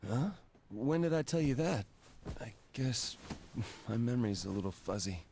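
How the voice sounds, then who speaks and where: A man's voice: mid-range voice, animated, moderate pace, a little mellow, slight background noise, close to an everyday voice. A man voicing a nervous, bewildered young man in a video game cutscene, stammering and hesitant. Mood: awkward, confused. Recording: music under the voice.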